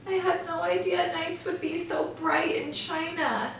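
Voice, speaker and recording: Woman doing a bright eager little mouse. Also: extremely high pitch, fairly even intonation, a little slow, gravelly, heavy reverb, far from the mic, a little hiss or hum, pitched above the performer's own voice, full character voice. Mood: dismayed. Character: small eager male mouse searching for home.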